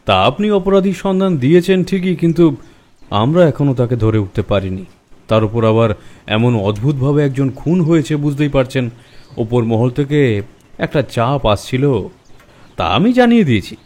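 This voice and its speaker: A man playing a harried police officer in an audio detective drama, explaining things haltingly with sighs. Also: mid-range voice, darkish tone, lightly acted. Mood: harried, apologetic. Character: harried male police officer reporting a baffling murder case. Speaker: actor in an audio detective story. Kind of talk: Bengali audio drama acting.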